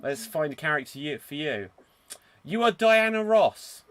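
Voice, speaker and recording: Bubbly, lively male improv host. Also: fairly high pitch, unhurried. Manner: bubbly, laughs often, teasing. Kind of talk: hosting a live-streamed improv game.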